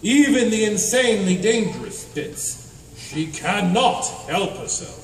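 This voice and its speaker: A man's voice: sits high, big pitch swings, measured pace. A man playing a grandiose, fatalistic adventurer hero in a comic radio drama, declaiming pompously. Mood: ominous, theatrical. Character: grandiose self-styled hero, cheerfully fatalistic male adventurer. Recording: echoey hall stage, distant, faint noise.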